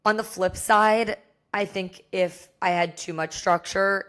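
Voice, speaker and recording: A young American woman with a hoarse voice, talking candidly and casually as the solo host of a podcast. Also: mid-range voice, steady tone, takes it slowly, a bit bright. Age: young adult. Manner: chatty, candid, frequent "like".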